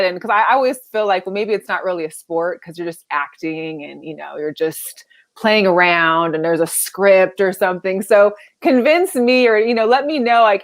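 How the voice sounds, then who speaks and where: A woman co-hosting a casual sports chat, asking halting questions with repeated words. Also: mid-range voice. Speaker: co-host.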